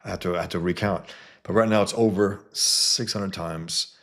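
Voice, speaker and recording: A cartoonist from the Bronx, talking casually to followers on a livestream, with many fillers and restarts. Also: male voice, deep voice, fairly even intonation, normal speed, a bit bright. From the Bronx, New York. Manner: greets viewers mid-talk.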